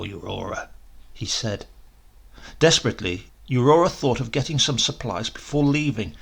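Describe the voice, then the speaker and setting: A man reading a literary crime tale aloud as a dramatic, expressive narrator. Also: mid-range voice, creaky. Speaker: story reader.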